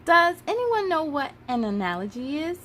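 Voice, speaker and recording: A curious, outspoken schoolchild, played by a woman in a storybook reading. The voice is high and very animated, eager and questioning, with faint noise behind it. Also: normal speed. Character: curious, outspoken young schoolchildren answering in class. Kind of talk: children's storybook read-aloud.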